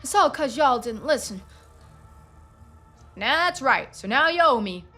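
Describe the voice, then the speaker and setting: An angry backwoods dad acted out by a woman in a story game, pitched up from the reader's usual voice and forceful. He is belligerent and drawling, over faint music. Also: expressive, unhurried, exaggerated voice. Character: furious, foul-mouthed rural father demanding his son back.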